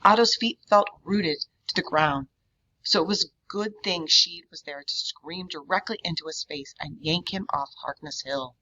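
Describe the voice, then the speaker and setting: A woman reading a children's book aloud in an expressive manner. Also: on the low side, moderate pace, lo-fi sound. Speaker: read-aloud reader.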